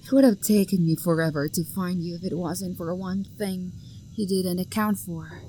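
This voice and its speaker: A woman's voice: on the low side, warm tone, a little hiss or hum, close to an everyday voice, low-quality recording. A young woman acting an obsessive, possessive lover who hunts for the one she adores, her voice sweet and menacing and often sinking to a whisper. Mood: hushed, sinister. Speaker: voice actor. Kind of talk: audio roleplay acting.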